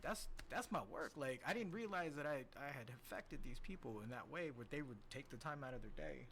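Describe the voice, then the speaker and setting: A man from the Philippines, a podcast guest, telling stories from his life in a lively, casual way and often checking in with "right?". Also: mid-range voice, a little fast, a little hiss or hum. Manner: animated storyteller, keeps checking in with "right?". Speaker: podcast guest, casino host. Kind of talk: casual storytelling interview.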